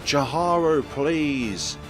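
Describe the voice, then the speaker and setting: A man voicing a frightened, pleading high-school student in a visual novel, urgent and desperate. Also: very slow, a bit bright, faint music behind. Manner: urgent, emphatic, stammering under shock. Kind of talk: dramatic visual novel voice acting.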